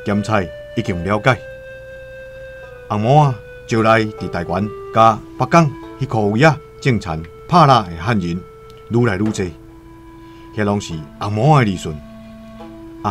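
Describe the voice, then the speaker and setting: An actor playing a shrewd, self-serving Han trader, calculating and wary of trouble, with a Taiwanese accent in a radio drama. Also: male voice, lowish voice, natural voice. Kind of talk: radio drama dialogue and first-person storytelling. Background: music.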